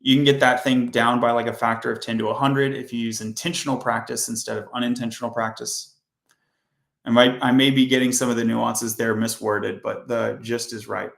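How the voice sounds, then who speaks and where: A man hosting a coding livestream, chatting casually and rambling with self-corrections, his voice hoarse and fading. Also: mid-range voice, flat delivery, fairly quick, a bit bright, echoey room.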